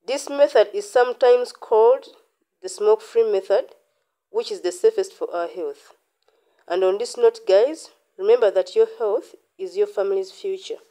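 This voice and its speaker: Woman, young adult, mellow warm tone, clear step-by-step how-to, phone-recorded outdoors. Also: medium pitch, normal speed. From Uganda. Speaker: channel host. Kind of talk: how-to voice-over.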